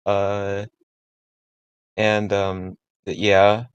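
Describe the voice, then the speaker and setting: Male, lowish voice, halting participant giving informal script notes, repeats himself as he goes. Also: monotone, smooth, highs cut off. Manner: halting and repetitive, restarting words often. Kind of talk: casual spoken feedback.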